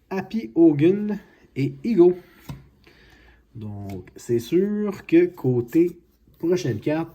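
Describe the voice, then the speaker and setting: A man hosting a card-opening video, unhurried and excited over big pulls. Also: a little high, husky. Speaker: card collecting channel host. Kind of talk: casual card-opening vlog. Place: home, at a card-opening mat.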